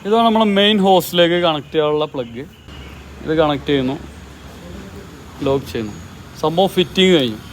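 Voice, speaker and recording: A man's voice: fairly high pitch, animated, fairly quick, long pauses, slight background noise. A man, a bike mechanic, walking step by step through fitting a helmet headset outdoors. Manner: methodical, walks through each step. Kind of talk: step-by-step installation guide.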